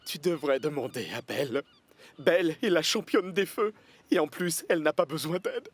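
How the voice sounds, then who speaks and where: A man's voice: a little high, moderate pace, no echo. A man playing a proud but easily discouraged male fire engine in a children's cartoon, with a theatrical, emphatic delivery. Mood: sulky, dejected.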